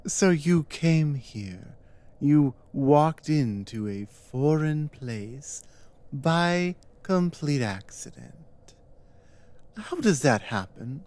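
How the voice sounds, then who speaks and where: A performer playing a powerful, stern giantess captor in an ASMR roleplay, speaking in a deliberate, menacing and condescending way. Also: medium pitch, lively intonation, darkish tone. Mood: stern, mocking.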